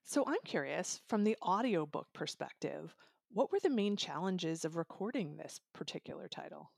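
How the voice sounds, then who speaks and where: Female interview host, middle register with noticeable fry, polished yet conversational, very dry sound. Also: lowish voice, lively intonation, moderate pace, a little mellow, no room sound. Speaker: podcast host, magazine publisher. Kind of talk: hosted audiobook interview, polished intro and chat.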